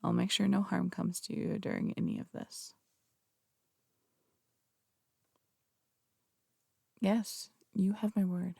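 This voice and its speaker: A very creaky, dry, close female voice acted by a woman plays a flirtatious phantom thief in an audio roleplay. She speaks in theatrical, polished phrases, teasing and reassuring her listener, with small hesitant stammers. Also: mid-range voice, level tone, moderate pace, dark tone, dry recording.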